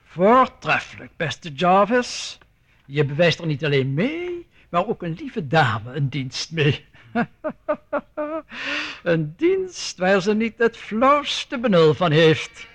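Radio drama: scheming gentleman, drawn-out ornate phrases, self-satisfied, very animated high delivery. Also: heavily acted. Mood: smug, amused. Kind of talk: radio play acting.

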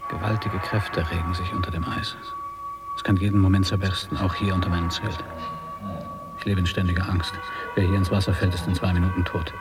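A man's voice: deep voice, wildly swooping pitch, a little fast, over loud music. An actor playing a weary, anxious male polar explorer speaking a diary-like monologue in a radio drama. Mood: weary, brooding.

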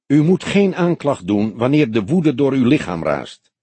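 A man voicing a polite, wry old police detective with a measured, courteous manner in an audiobook. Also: mid-range voice, big pitch swings, slightly rough, no room sound, pitched above the performer's own voice. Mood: soothing. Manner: courteous, measured, gently mocking. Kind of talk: audiobook character dialogue.

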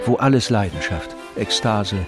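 A man narrating a literary radio play in measured, scripted storytelling. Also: fairly low pitch, expressive, loud music behind the voice.

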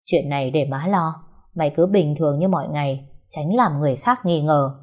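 A woman playing a scheming middle-aged mother in an audio drama, bossy and forceful in her scolding. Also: low voice, a little fast.

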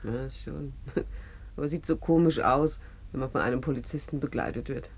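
A woman's voice: a bass voice, normal speed, darkish tone, breathy edge, heavily acted. A woman playing a young, proud, guarded character in a radio drama, curt and skeptical in manner. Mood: wary. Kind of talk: naturalistic radio drama acting.

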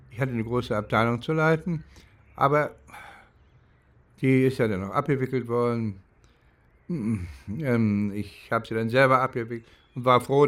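An older man tells personal memories at a very unhurried pace. His delivery is halting and thoughtful, as in relaxed conversation, with a middle-pitched voice. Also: no echo. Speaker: participant in a documentary radio play. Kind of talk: spontaneous personal reminiscence.

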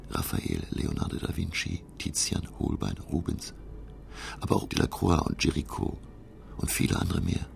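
An actor playing a learned, curious professor who narrates his undersea adventure in a radio play, gravelly and measured. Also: heavily acted. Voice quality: very deep, gravelly. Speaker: narrator and character.

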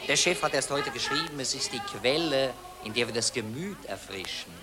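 A man's voice: mid-range voice, animated, normal speed, loud music behind the voice, close to an everyday voice. An actor playing a pompous, glib male journalist in a radio play. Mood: smug. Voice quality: pompous, declamatory. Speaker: newspaper reporter. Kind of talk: radio drama acting.

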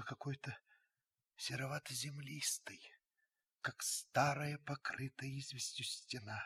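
An actor playing a solemn witness who narrates a radio drama, deliberate and intense with dramatic pauses and a husky voice. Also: male voice, on the low side, pushed and bright, full character voice. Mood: tense, hushed. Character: solemn male witness narrating with mounting tension.

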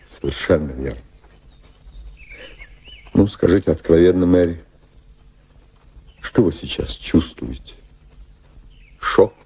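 Low, husky, courtly colonial gentleman. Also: full character voice. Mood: earnest, pressing. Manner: courtly, deliberate. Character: dignified, ardent 54-year-old British colonial governor, courting. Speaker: actor in radio play. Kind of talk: Russian radio drama acting.

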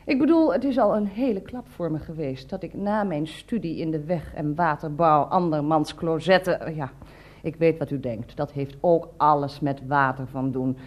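A woman's voice: low-pitched, moderate pace, muffled recording. An actress playing a prim, self-righteous cleaning lady in a radio drama, formal and deferential to her employer. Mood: indignant, opinionated. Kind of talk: Dutch radio drama dialogue.

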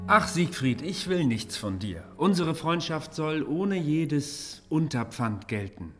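A man's voice: on the high side, big pitch swings, slow, soft background music. An actor playing a grandly generous king in a fantasy radio drama, with a stately, declamatory manner. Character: grandly generous male king welcoming a hero.

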